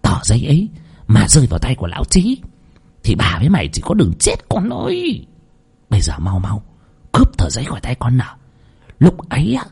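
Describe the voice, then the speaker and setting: A man voicing a scheming young concubine who whines and scolds in a shrill, bossy way.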